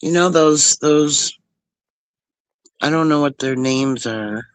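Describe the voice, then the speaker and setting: A man who speaks very slowly in a casual chat, rambling and hesitant, with lots of fillers. His voice sounds dry and close, with no room echo. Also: a little high, smooth.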